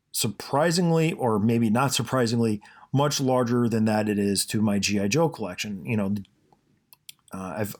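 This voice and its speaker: A man hosting his own podcast, talking casually and rambling, with a soothing voice and plenty of fillers and restarts. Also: mid-range voice. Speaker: podcast host. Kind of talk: casual solo podcast monologue.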